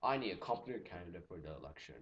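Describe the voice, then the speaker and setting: Animated goofy teen lecturer. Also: male voice, sits low, racing, breathy edge, muffled recording. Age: teens. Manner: goofy, animated, jumps between loud exclamations and mutters. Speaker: student presenter. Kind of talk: comedic lecture with skits.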